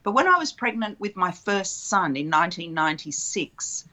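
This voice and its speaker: A woman's voice: fairly low pitch, big pitch swings, normal speed, pushed and bright. A woman in her fifties, a childbirth educator, telling her story in a flowing, reflective way in an interview. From Australia. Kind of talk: relaxed interview conversation.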